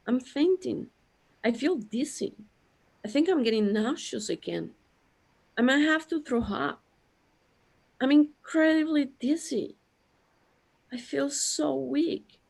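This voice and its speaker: A woman's voice: high voice, vocal fry, exaggerated voice. A woman acting an anxious, bewildered character overwhelmed by existential dread in a sci-fi audio drama. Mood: panicked, faint.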